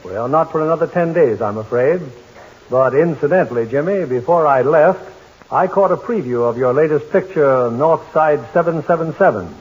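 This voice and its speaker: A middle-aged man hosting a 1940s radio drama broadcast, courteous and measured in a formal manner. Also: on the high side, husky, lo-fi sound. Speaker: radio drama host and producer.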